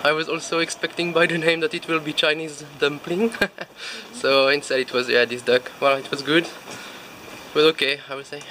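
A man, easygoing and quick to laugh as he films himself outdoors with a phone at arm's length. His voice sits a little high. Traffic and street ambience come through clearly behind him. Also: flat delivery, pushed and bright. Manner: chatty, laughs often, loose non-native English. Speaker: vlog host. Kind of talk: casual restaurant review chat. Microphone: phone at arm's length selfie.

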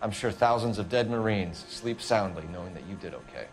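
An American actor playing an earnest, stern young police detective in a video game, with a clipped, interrogating manner. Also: male voice, fairly low pitch, brisk, faint music behind, lightly acted. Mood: accusing, stern. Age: young adult.

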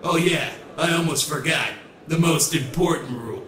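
A man's voice: on the high side, moderate pace, roomy sound, close to an everyday voice. A man voicing a cocky, wild, green-faced trickster rock showman in a comic book dub, hammy and theatrical. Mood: showboating. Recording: loud music behind the voice. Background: loud music.

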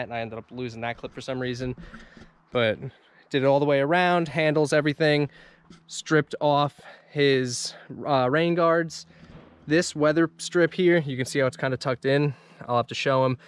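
A man's voice: mid-range voice, smooth. Chatty man giving a shop tour. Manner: loose and chatty, many fillers and restarts. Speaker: auto detailing and wrap shop owner. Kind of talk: casual shop vlog walkthrough. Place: car shop and lot, in and out of doors.

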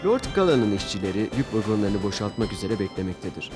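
A man's voice: fairly low pitch, very animated, fast talker. A man narrating a scripted children's story as the storyteller. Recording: music bed behind narration. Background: music.

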